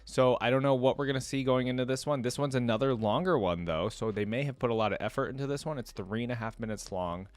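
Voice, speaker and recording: A man hosting a reaction video, thinking out loud in casual, informal and enthusiastic commentary. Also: medium pitch, fast talker, few pauses, no echo. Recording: music playing behind the voice. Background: game login music.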